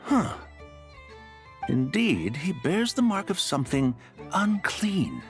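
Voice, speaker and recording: An actor voicing a scornful, indignant ancient immortal in a video game, with pompous archaic wording. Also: male voice, a little high, huge pitch swings, slow, faint music behind, heavily acted. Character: scornful ancient male adeptus, indignant elder. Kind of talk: game voice acting.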